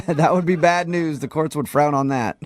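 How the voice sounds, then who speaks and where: A man's voice: on the high side, moderate pace, natural voice. A man playing a chatty county court clerk on a prank phone call, casual, evasive and amused as he breaks awkward news. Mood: breezy, friendly.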